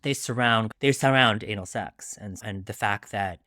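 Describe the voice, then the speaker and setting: Low-voiced man, chatty and self-deprecating. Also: normal speed, no room sound, clean studio sound. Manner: animated, self-deprecating, chatty, quotes his own thoughts. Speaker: guest author discussing his debut novel. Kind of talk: casual interview chat.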